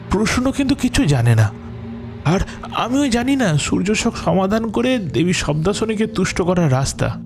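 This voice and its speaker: Anxious captive over music. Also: male voice, high voice. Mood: desperate pleading. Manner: hesitant, pleading, earnest. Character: anxious captive man, earnest researcher pleading with his captor. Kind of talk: Bengali horror audio drama acting. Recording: music under the voice.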